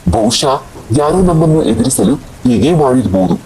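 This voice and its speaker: A man playing a determined, steady village man in a cartoon horror story, speaking reassuringly. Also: moderate pace, creaky, poor audio. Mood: suspicious, resolute. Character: Ramesh, determined calm village man investigating a haunted house. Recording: faint rain and rumble behind voice.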